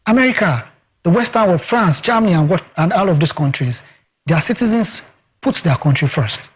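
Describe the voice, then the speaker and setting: A man's voice: high voice, animated, moderate pace, slightly rough. A Nigerian man with a Yoruba accent, a communications expert talking emphatically as a guest in a TV studio interview. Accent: Nigerian English, Yoruba. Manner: emphatic, rhetorical, leans on "guess what" and "you understand".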